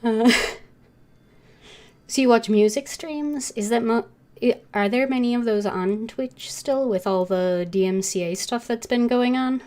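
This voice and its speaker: A woman livestreaming a game, chatting casually and thinking aloud in a halting way. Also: fairly high pitch, a little mellow. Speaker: game streamer.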